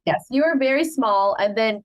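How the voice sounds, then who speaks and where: A woman's voice: on the high side, animated, moderate pace. A middle-aged woman whose first language is Cebuano, hosting a podcast in a chatty, proud way and laughing often. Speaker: podcast host. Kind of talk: casual family chat.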